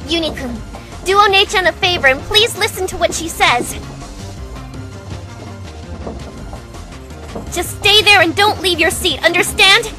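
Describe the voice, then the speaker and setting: A woman playing a protective young older-sister figure in a dramatic visual novel fandub, earnest and gently firm. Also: extremely high pitch, normal speed, faint music behind. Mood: urgent reassurance.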